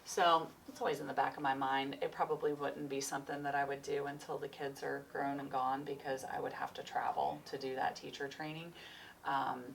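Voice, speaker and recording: A woman's voice: very deep voice, level tone, brisk, gravelly. A woman in her forties, a chiropractor and yoga teacher, chatting loosely in an interview and rambling a little as she goes. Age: 40s. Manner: rambling, free-spirited, laughs often. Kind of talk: casual interview chat. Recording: distant mic with faint noise.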